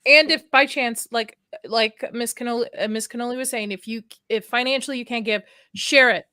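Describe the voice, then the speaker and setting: A woman's voice: fairly high pitch, fairly even intonation, a little fast, bright, forceful tone, smooth, no room sound, clean studio sound. A woman hosting a casual podcast from her home streaming setup, chatty and giggly as she greets people in the chat. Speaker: podcast host. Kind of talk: casual chat, reading out chat names.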